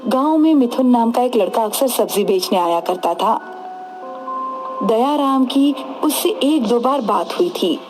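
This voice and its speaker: A woman's voice: on the high side, lively intonation, measured pace. A woman storyteller reading scripted horror-story narration. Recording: music behind the voice.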